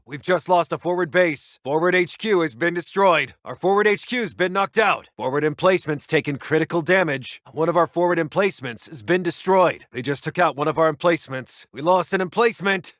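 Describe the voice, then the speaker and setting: A man playing a brisk, dutiful American army intelligence officer in a Second World War video game, giving clipped, formal reports to his commander. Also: a little high, fairly bright tone, no room sound, clean studio sound, close to an everyday voice. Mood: alarmed, grim. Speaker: military announcer reporting to the commander. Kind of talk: scripted video game unit voice lines.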